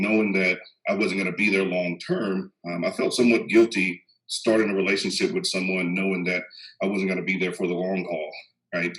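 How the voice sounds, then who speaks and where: A man's voice: low-pitched, a bit bright, poor audio. A man in his thirties with a faint Texan accent, a psychologist talking casually on a panel with many ums and restarts. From Texas. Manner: measured, many "um"s and restarts, chuckles. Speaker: guest psychologist.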